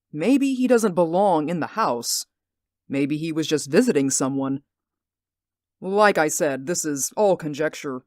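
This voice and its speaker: A woman's voice: low-pitched, dry recording, clean studio sound, full character voice. A woman playing an anxious, insistent man in a radio drama, speaking earnestly and emphatically. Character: anxious, insistent male stage actor spying on neighbours.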